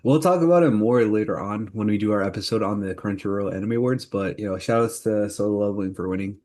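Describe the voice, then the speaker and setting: A man's voice: lowish voice, fast talker, darkish tone. A man co-hosting a podcast, leading a casual news roundup in informal speech. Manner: informal, leads the segments. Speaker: podcast co-host. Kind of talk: casual news roundup chat about anime.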